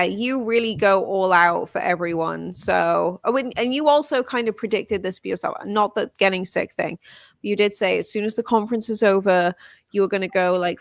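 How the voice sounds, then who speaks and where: A woman co-hosting a livestream, chatting casually in an upbeat, warmly praising way. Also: mid-range voice, no echo. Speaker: livestream co-host. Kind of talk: casual chat.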